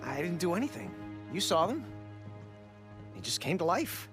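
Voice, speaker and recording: A man's voice: high-pitched, sing-song, normal speed, over music. An actor playing a cocky, eager boy adventurer in a video game, speaking casually. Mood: defensive. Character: cocky, excitable boy adventurer, young nephew.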